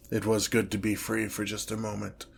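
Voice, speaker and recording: A man playing an imperious ancient demon lord in an ASMR fantasy roleplay, speaking in a pompous, theatrical, drawn-out manner, menacing and easily exasperated by mortals. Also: fairly low pitch, creaky, slightly rough. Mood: contemptuous, menacing.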